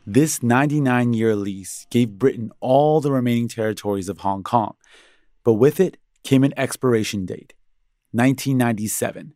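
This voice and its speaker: A man giving scripted explainer narration in a measured, storytelling way. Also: mid-range voice, warm tone, dry recording, clean studio sound, soft background music.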